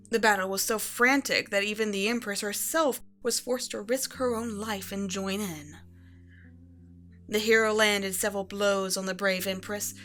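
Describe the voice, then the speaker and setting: A woman playing a proud, self-righteous evil empress who is a doting adoptive mother, telling a bedtime story in a measured, regal way in an ASMR roleplay. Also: mid-range voice, a bit bright, natural voice.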